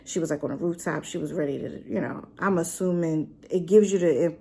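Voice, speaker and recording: A woman's voice: lowish voice, a little fast, gravelly, slight background noise. A woman casually reviewing a drama in a chatty, rambling way, full of fillers and asides. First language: not Korean. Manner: animated, with rhetorical questions.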